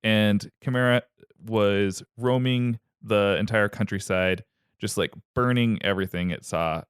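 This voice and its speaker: A man's voice: on the low side, a little slow, clear, resonant tone, no echo, studio quality. A man hosting a comedy podcast, retelling a myth in a rambling, joking way. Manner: rambling, joking, filler-heavy. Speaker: podcast host, storyteller.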